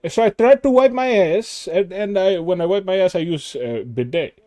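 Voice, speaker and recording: A man's voice: high-pitched, normal speed, warm tone, close to an everyday voice. A man putting on an Indian accent to play a nervous, boastful caller with broken grammar on a call-in stream. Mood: rambling. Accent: put-on Indian. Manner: broken grammar, stammering, pushy. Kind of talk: prank call-in bit.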